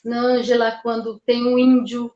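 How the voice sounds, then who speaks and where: An older woman author answers interview questions in a thoughtful, halting way, often restarting words and adding "né". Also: on the high side, little pitch movement, muffled recording. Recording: roomy echo.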